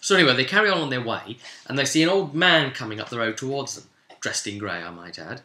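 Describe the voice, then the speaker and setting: A man's voice: mid-range voice, animated, quick pace, pushed and bright. A man giving a casual, rambling spoken recap of a tabletop role-playing session as its game master. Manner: rambling, conversational asides. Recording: roomy, echoing voice recording.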